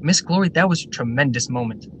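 An actor playing a brisk, eager factory general manager in a radio drama, formal and articulate. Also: male voice, natural voice. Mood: courteous. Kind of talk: stage-style radio drama acting. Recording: noisy radio drama recording, steady rumble.

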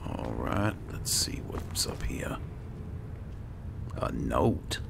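A man with an extremely low, heavily fried voice hosts a casual gaming video. His pitch swings widely as he mutters to himself, with noisy game ambience behind him. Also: very animated, extremely slow, creaky, in a whisper. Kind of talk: casual let's play commentary.

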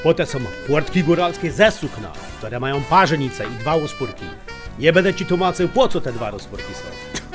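Actor as droll highlander man over music. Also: animated, normal speed, close to an everyday voice. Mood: proud, droll. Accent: Polish highlander (Goral). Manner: folksy, droll, instructive. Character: jovial highlander groom proudly explaining his costume. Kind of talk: audio drama acting.

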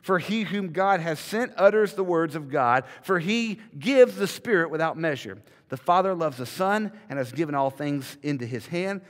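Pastor preaching in a reverberant hall. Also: male voice, fairly high pitch, animated, moderate pace, hardly any pauses. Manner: emphatic, repeats words as he builds a point. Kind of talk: sermon. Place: large church sanctuary, indoors. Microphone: podium mic, filmed from a far camera.

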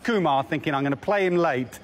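Pundit guy rattling off analysis fast, kinda high voice, faint background hum. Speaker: commentator, pundit. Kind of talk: studio punditry.

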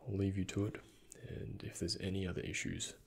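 A young man speaking in a breathy, hushed ASMR whisper, gently and unhurriedly, close to the microphone as in a doctor roleplay. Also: low voice, little pitch movement, brisk. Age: young adult. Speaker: ASMR creator playing a doctor. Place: indoors, close before a dark backdrop.

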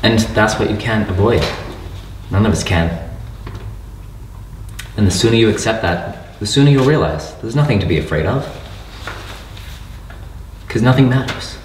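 A young actor playing a deadpan male student who calls himself a nihilist, talking flatly and matter-of-factly. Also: on the low side, moderate pace, long pauses, lightly acted. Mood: detached. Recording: roomy, distant, light noise.

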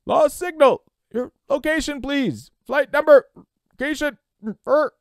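A man voicing a brisk, insistent male radio operator in an audiobook, speaking in clipped, emphatic procedural phrases. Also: very high-pitched, dry recording, clean studio sound, pitched above the performer's own voice. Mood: straining, urgent. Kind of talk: dramatic audiobook character voice.

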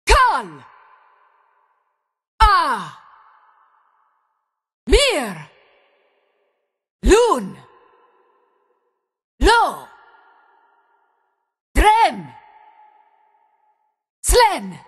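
A fierce fantasy warrior woman calling out words of power. Her voice is high and swoops widely. Each game battle shout is slow and drawn out. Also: wildly swooping pitch, full character voice. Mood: fierce, forceful.

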